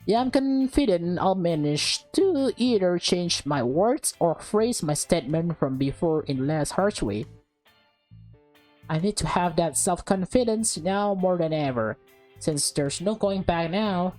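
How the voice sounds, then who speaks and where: A woman's voice: on the low side, lively intonation, a little slow, darkish tone, faint music behind. A woman reading visual novel text aloud as a let's player, with casual commentary mixed in.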